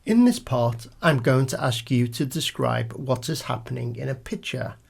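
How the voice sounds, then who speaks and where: A man acts as examiner, reading scripted questions and instructions formally. His voice is mid-pitched with noticeable gravel and a husky edge. His intonation moves up and down in a lively way. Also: moderate pace.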